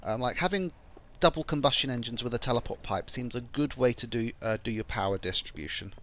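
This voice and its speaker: A man with a croaky, phlegmy voice from a cold, talking through a building game in casual commentary and thinking aloud with frequent ums. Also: medium pitch, fairly quick, a bit bright. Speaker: gameplay channel host. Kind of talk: casual let's-play commentary.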